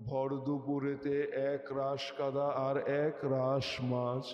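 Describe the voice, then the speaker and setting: A man reciting a poem expressively, as a poetry reciter does, over music. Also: medium pitch. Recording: dull, echoey with long reverb.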